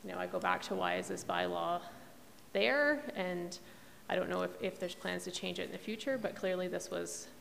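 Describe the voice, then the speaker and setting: Brief: hesitant woman commissioner, heavy vocal fry, lower pitch, echoing hall. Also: normal speed, a bit bright. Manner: hesitant, thinking aloud, very creaky. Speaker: planning commission member. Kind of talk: formal meeting commentary. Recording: reverberant meeting room, faint hiss.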